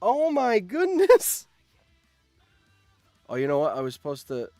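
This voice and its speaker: Animated man commentating, lively swinging pitch. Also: a little slow, dry recording, soft background music. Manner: animated, breaks into surprised exclamations. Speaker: streamer host. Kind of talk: excited live commentary, reading out game results.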